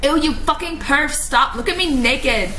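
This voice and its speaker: A woman's voice: high voice, expressive, unhurried, sharp tone, pitched above the performer's own voice, full character voice. A woman voicing a melodramatic, angsty teenage goth girl with overwrought exclamations in a story reading. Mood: indignant. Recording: roomy echo, noticeable background noise.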